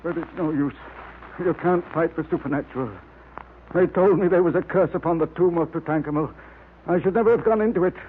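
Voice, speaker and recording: A male actor as a frightened, superstitious Egyptologist in a vintage radio drama. His voice sits high and sounds agitated and fretful. The recording is thin and tinny with slight noise. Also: fairly quick, lightly acted. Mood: alarmed, despairing. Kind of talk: 1940s radio acting.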